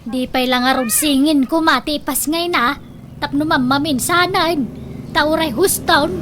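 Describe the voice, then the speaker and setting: A woman's voice: high-pitched, unhurried, noisy background. An actress playing a fussy, complaining woman in an Ilocano comedy radio drama.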